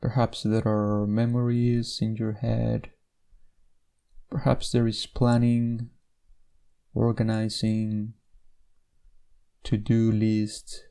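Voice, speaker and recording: A man leading a guided meditation in a measured, instructional way, with gentle pauses between phrases. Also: lowish voice, monotone, creaky, smooth.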